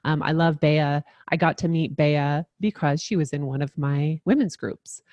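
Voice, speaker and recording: Low-pitched woman cohost, earnest, lots of ums, dry close mic. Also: steady tone, a little slow, no room sound, highs cut off. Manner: warm, earnest introducer with frequent "um". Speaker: podcast co-host. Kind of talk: podcast intro and chat.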